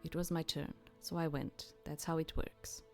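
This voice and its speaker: Bitter wounded captive girl, voiced by a woman, low. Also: little pitch movement, moderate pace, dark tone, faint music behind. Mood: bitter, brooding. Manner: terse, brooding inner monologue. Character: bitter, wounded, defiant young female captive.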